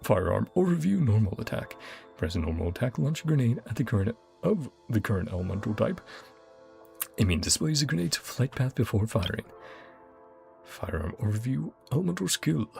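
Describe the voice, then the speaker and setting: A woman's voice: very deep voice, very animated, moderate pace, creaky, a little mellow. A woman doing casual let's-play commentary, playfully reacting in short murmurs and reading game text aloud. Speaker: gaming streamer. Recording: game music under the voice. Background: video game music.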